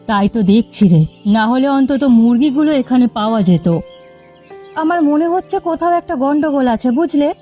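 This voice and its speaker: A woman's voice: high-pitched, normal speed, warm tone, natural voice. A woman voicing a bossy, determined village housewife in a cartoon, with music underneath. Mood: doubtful. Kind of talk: Bengali cartoon voice acting.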